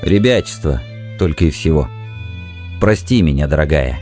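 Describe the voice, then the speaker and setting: A man's voice: fairly low pitch, sing-song, measured pace, faint music behind. A man playing an irritable, demanding, jealous husband in a dramatised audiobook. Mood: weary, subdued. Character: jealous, stern, domineering Martian husband.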